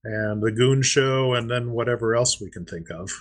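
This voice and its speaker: A man's voice: medium pitch, normal speed. A man co-hosting a podcast in casual chat, explaining things and thinking aloud. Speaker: podcast co-host.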